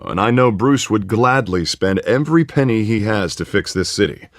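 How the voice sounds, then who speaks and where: A man's voice: on the low side, lively intonation, normal speed, no room sound, clean studio sound, close to an everyday voice. An actor playing a confident, charismatic middle-aged politician in a video game, with a glib, jovial salesman's manner. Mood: confident, campaigning.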